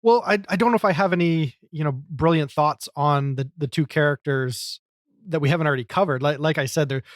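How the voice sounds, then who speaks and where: A man with a slightly high voice, recorded close and dry. He talks like an enthusiastic podcast co-host, casually working through his thoughts in long, rambling sentences. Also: no echo. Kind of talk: casual film discussion.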